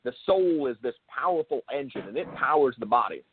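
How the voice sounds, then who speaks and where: Man explaining haltingly over a phone-like line. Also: mid-range voice, animated, slightly rough, dry recording. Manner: halting, repeats and rephrases himself, emphatic on key words. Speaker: show host interviewing a guest. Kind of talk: unscripted explanatory discussion. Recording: thin phone-like call audio.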